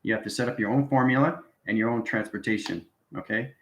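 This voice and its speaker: A man's voice: mid-range voice, fast, slight background noise. A man giving an improvised esoteric lecture to viewers, instructing repetitively and often checking in with short tags like okay and right. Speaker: spiritual channel host giving instruction. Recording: roomy, echoing sound.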